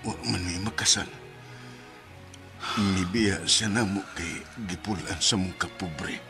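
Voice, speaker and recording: Humble dying dad, low quiet voice, resigned, music under it, radio drama. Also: male voice, fairly even intonation, takes it slowly. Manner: subdued, deferential. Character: humble, poor, ailing father resigned to death.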